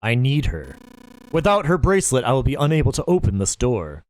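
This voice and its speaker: A man playing a pompous, sadistic gentleman villain. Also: medium pitch, big pitch swings, fairly quick, voice pushed up, lightly acted, poor audio. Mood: cold. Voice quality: pompous, mocking, overly polite. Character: cruel, genteel middle-aged male villain. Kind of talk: audiobook character voice.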